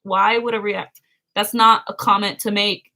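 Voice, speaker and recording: A woman's voice: medium pitch, flat delivery, a little slow, vocal fry, pushed and bright. A woman chatting casually with her sister on a livestream, rambling and giggling as she reads out viewer comments. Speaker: livestream co-host. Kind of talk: casual livestream chat with a sibling.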